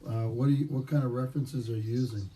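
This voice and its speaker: Hesitant co-host on a noisy distant mic. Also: male voice, mid-range voice, steady tone, fast talker, mellow. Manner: hesitant, many uh fillers. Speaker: co-host and actor. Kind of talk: live-stream interview questions. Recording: distant mic with clear noise.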